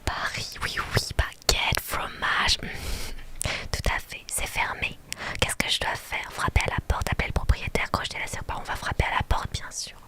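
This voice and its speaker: Young woman whispering softly, ASMR style. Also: fairly quick. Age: young adult. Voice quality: soft, breathy whisper. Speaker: live streamer playing a story game. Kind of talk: ASMR whispered read-aloud and casual chat with live viewers.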